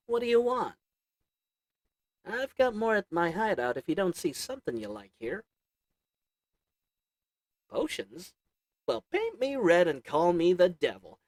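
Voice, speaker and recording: A man's voice: a little high, no room sound, heavily acted. A man doing a wheedling old goblin merchant. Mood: chatty. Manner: wheedling folksy drawl. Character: sly little old goblin merchant, shady.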